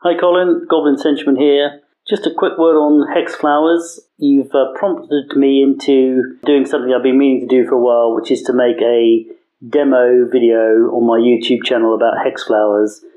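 Mellow male caller on dull recording. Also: mid-range voice, little pitch movement, normal speed. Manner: informal, friendly. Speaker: podcast call-in contributor. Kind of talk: casual voice message. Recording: dull lo-fi voice message.

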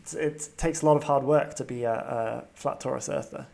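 A man's voice: medium pitch, fairly even intonation, a little fast, creaky, darkish tone. A man hosting a role-playing podcast as game master, narrating the scene and slipping in dry, wry quips.

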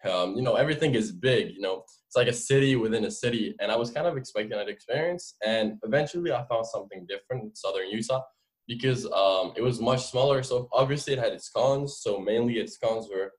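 A man's voice: mid-range voice, fairly quick, low-quality recording. A man in his twenties from France with a French accent, telling his story casually and informally as an interview guest, peppering it with filler phrases. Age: 20s. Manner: informal, explanatory, frequent "like" and "you know". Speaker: podcast guest.

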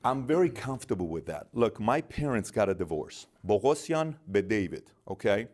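A middle-aged man born in Iran, guest in an interview, telling animated stories and acting out the dialogue. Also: lowish voice, normal speed. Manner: animated storyteller, acts out dialogue in quotes. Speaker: guest, business founder. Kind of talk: storytelling interview.

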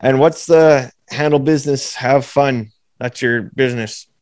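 A man hosting an interview in casual chat, his voice raspy, blunt and swearing freely. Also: mid-range voice, steady tone, a little slow, darkish tone, no room sound. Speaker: podcast host.

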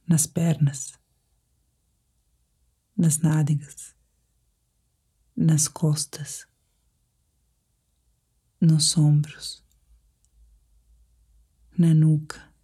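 A Brazilian woman guiding a sleep meditation in a soft, whispery, breathy voice, speaking in a calm, measured, soothing way. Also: low voice. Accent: Brazilian Portuguese. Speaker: meditation guide.

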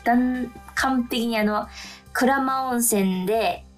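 A woman's voice: on the high side, slow, faint music behind. A woman co-hosting a radio talk show, chatting in a friendly, casual way. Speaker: radio co-host. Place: radio studio.

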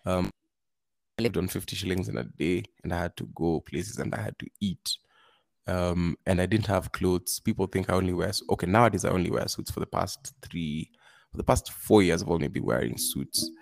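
A man speaking as a podcast guest, giving earnest advice in a halting way, with frequent restarts. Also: sits low, vocal fry, no echo. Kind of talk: casual advice talk.

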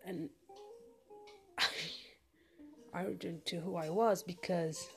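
A woman speaks in a fairly low, masculine-leaning voice over clearly audible music. She gives casual personal advice, stressing her points by repeating short phrases. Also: fairly even intonation, slow pace. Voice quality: self-described masculine voice. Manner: emphatic, repeats phrases for emphasis. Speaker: vlogger giving personal advice. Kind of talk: casual monologue, giving advice.

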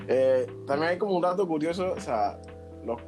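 A man's voice: fairly high pitch, lively intonation, normal speed, music in the background. A man in his twenties with a Panamanian accent, hosting a casual podcast chat with friends in a slangy, joking way. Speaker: podcast host.

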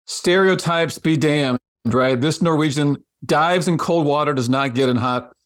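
A man hosting an interview podcast, easygoing and quick with short affirmations. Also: a little high. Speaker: podcast host. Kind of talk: casual interview chat.

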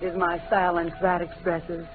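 A woman's voice: fairly low pitch, level tone, normal speed, close to an everyday voice. An actress playing a poised, defensive young woman in a 1940s radio melodrama, genteel and theatrical. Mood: indignant, guarded. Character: poised, defensive young woman shielding her guilty father. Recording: tinny, noisy old radio broadcast.

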